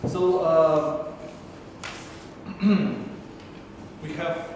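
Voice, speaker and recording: A man in his thirties hosts a meeting, slow and halting, full of pauses and fillers. His fairly high voice carries through a big echoey room. He is picked up from far off with steady background hiss. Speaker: meeting host. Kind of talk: hosting a public speaking club meeting. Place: large indoor meeting room. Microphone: far camera.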